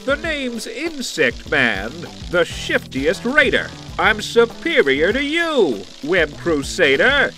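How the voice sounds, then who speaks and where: A man's voice: high-pitched, wildly swooping pitch, slow pace, hardly any pauses, pushed and bright, faint music behind, full character voice. A man voicing a boastful, sly insect supervillain in a campy superhero audio drama, theatrical and taunting in singsong rhyme. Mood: gloating.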